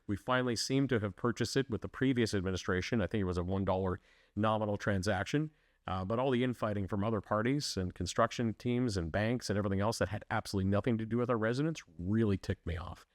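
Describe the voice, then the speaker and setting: Man, council candidate, earnest and emphatic, lowish voice, dry close sound. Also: quick pace, no echo. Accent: Canadian English. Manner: earnest, restarts and fillers, emphatic. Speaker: municipal election candidate. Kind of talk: interview answers, conversational.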